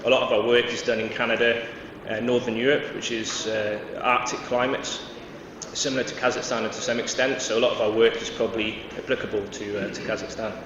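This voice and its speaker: A man's voice: mid-range voice, level tone, a little fast, distant mic. A man from Wales giving a conference presentation, hesitant, with many fillers and restarts. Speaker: conference speaker. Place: large conference hall. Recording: echoey hall, dull sound, noisy.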